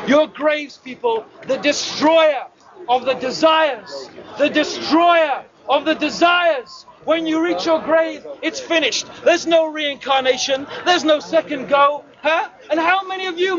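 A man preaching outdoors to a crowd, shouting fiery challenges and punctuating his points with a sharp "huh?". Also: very high-pitched, measured pace. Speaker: street preacher. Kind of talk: open-air shouted preaching to passers-by. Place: outdoors in a city, crowd around. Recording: dull lo-fi outdoor recording with crowd.

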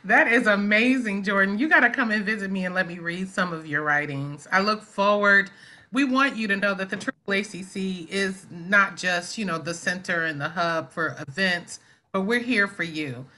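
A woman's voice: mid-range voice, moderate pace, bright, forceful tone. A woman moderating an online discussion, encouraging and inviting as host. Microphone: video call.

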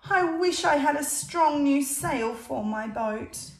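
A woman's voice: high voice, expressive, slow, voice pushed up, exaggerated voice. A woman reading aloud as a goat who has lost its sail, anxious and pleading. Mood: upset. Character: distressed goat on a boat. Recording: roomy echo.

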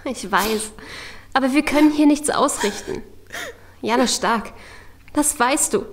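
An actress playing a calm woman who soothes her fellow captives in an amateur audio drama. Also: sits high, unhurried.

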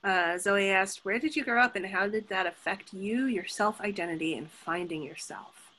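A woman moderator reading out audience questions in a plain, measured way. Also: medium pitch, sharp tone.

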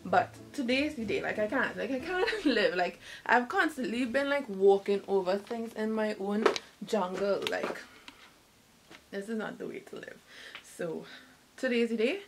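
A woman's voice: medium pitch, expressive, normal speed. A woman in her twenties chatting casually to a handheld camera in a bedroom, rambling and correcting herself as she goes. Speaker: vlogger. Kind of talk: casual vlog chat. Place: small bedroom, indoors. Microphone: handheld camera at arm's length.

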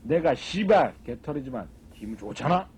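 A man's voice: medium pitch, big pitch swings, normal speed, a little mellow, breathy edge, pitched below the performer's own voice. A man acting a cheeky young man who begs his friend for money in a comedy skit. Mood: wheedling.

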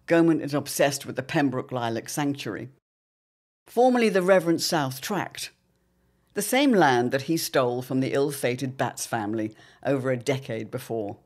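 A narrator with a formal storytelling delivery. The reading is scripted and dramatic, suited to a serialized period audio drama. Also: mid-range voice.

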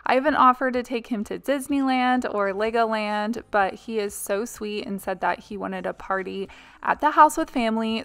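A woman's voice: mid-range voice, a bit bright, clean tone. A woman in her thirties, a mother and home vlogger, chatting through a cleaning video voice-over in a confiding, casual way with little ums.

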